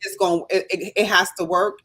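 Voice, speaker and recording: A woman with a slight Jamaican accent, talking fast and with emphasis as she tells her own story in a casual live chat. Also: mid-range voice, steady tone, fairly bright tone, slightly rough. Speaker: nurse and business owner, guest.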